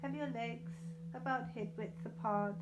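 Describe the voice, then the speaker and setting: A woman leading a guided yoga nidra meditation in a soothing, unhurried, gently reassuring way. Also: fairly high pitch, very noisy. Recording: slightly dull, echoey room sound.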